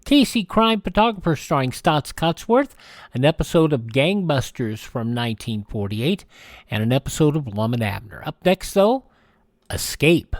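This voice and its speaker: A male radio host presents program listings in an informal scripted style. His delivery is animated, recorded close and dry. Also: on the high side, moderate pace, dry recording.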